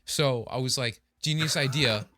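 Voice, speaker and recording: A man's voice: mid-range voice, normal speed, pushed and bright, no room sound. A man co-hosting a podcast, chatting casually and with informal enthusiasm. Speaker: podcast co-host.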